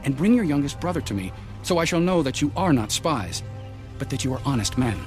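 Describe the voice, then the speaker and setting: A man playing an earnest Hebrew son reporting to his father in a dramatized audio Bible, measured and serious. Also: mid-range voice, very animated, lightly acted. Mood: earnest, troubled. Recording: loud music bed.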